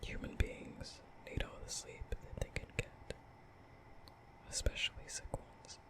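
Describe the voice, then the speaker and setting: A softly whispering young male vampire, acted by a man, nervous and tender. He speaks breathily and hesitantly, soothing and apologetic, in the intimate style of an ASMR comfort roleplay. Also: measured pace, natural voice.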